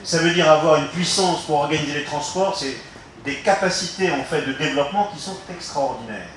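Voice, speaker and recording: A man giving an unscripted explanatory political talk, halting yet emphatic and didactic, posing rhetorical questions. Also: fairly high pitch, a bit bright. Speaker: local politician speaking on town alliances. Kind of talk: unscripted explanatory speech to listeners. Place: reverberant room, recorded from some distance. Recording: very echoey room, distant mic, faint noise.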